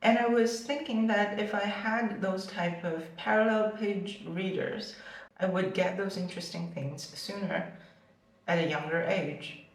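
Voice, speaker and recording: A young woman whose first language is Chinese talks casually about reading, wandering through fillers and self-corrections. Her voice sits at a middling pitch. She sounds far from the mic in a room full of echo. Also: a little slow. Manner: chatty with many fillers and restarts. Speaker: booktuber. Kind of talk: casual vlog.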